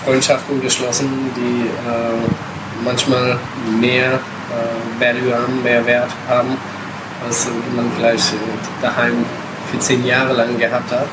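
A man in his thirties, born in Italy, talks as a podcast guest about his travels. He pauses and fills gaps with sounds, now and then dropping in English words. A loud air-conditioning hum and background noise sit under his voice. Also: medium pitch, monotone. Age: 30s. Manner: hesitant, many filler sounds, mixes English words. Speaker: interview guest, backpacker. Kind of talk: casual podcast interview.

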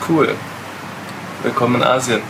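A man's voice: medium pitch, normal speed. A man hosts a casual travel podcast, asking the guest questions and answering with short words of agreement. The recording has plain background noise and an air-conditioning hum. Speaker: podcast host, backpacker. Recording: noisy recording.

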